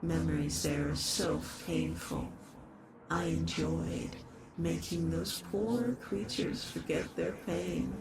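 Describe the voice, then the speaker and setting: A woman voicing a possessive, obsessive eldritch horror in a scripted roleplay, speaking formally and deliberately, with a creaky, soothing yet sinister delivery. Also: lowish voice, heavily acted, rough recording. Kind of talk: scripted roleplay audio monologue.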